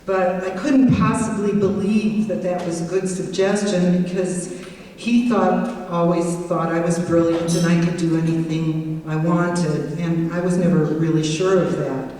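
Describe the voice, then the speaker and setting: A woman's voice: on the low side, level tone, measured pace, distant mic. Woman telling stories in an echoey hall. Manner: warm storytelling with self-deprecating humor. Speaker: judge giving a tribute speech. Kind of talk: banquet tribute speech. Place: hotel banquet hall. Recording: echoey hall recorded from afar.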